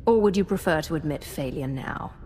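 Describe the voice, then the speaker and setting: A woman's voice: deep voice, lively intonation, a little fast, warm tone, slight background noise. A woman playing a ruthless, haughty Sith lord in a video game, giving commands with cold menace. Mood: cold, commanding. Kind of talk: scripted video game acting.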